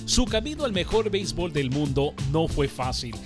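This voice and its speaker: A man reading scripted documentary narration in a smooth, even manner. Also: on the high side, normal speed. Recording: voice-over with music behind.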